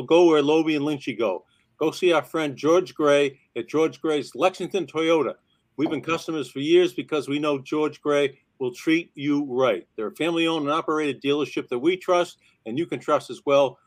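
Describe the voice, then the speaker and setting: A male co-host with a slightly higher voice keeps things breezy on a talk show. He delivers a sponsor read in an upbeat, friendly tone and teases his guests. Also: moderate pace.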